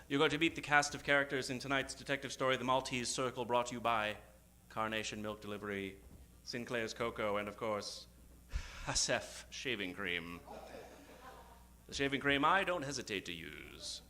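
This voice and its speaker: A man hosting a live old-time radio show as a grandiose, theatrical announcer with dramatic pauses. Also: mid-range voice, bright, forceful tone, slight background noise. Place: theater stage, before an audience. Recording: live stage show with music behind. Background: music.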